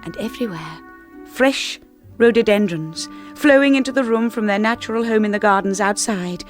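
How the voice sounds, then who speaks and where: A woman's voice: medium pitch, normal speed, soft background music, close to an everyday voice. A woman playing a shy, anxious young wife in a radio drama, with an English accent and a hesitant, polite manner. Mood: haunted, reflective. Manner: hesitant, polite, self-effacing. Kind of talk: radio drama acting with first-person narration.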